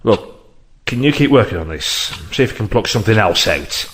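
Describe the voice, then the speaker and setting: A man's voice: fairly low pitch, big pitch swings, brisk, a bit bright. A man playing a worn-down police detective in an audio drama, terse and downcast. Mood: weary, distressed.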